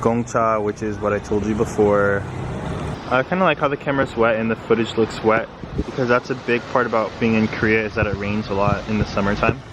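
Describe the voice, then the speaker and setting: A man's voice: lowish voice, flat delivery, a little fast. Chatty young American man, street noise. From United States. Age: young adult. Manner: rambling, filler-heavy, chatty. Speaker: vlogger, study-abroad student. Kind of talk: casual vlog narration. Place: outdoors on city streets.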